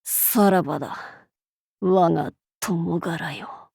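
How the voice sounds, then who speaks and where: A woman playing a brash, cocky young feudal warlord in an anime game, with rough, masculine, archaic and boastful speech. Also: fairly low pitch, slow pace, dark tone, no echo, studio quality, exaggerated voice. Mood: weakened, resigned. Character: brash, cocky young feudal warlord, self-styled fool, fiercely loyal. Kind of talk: anime game character voice acting.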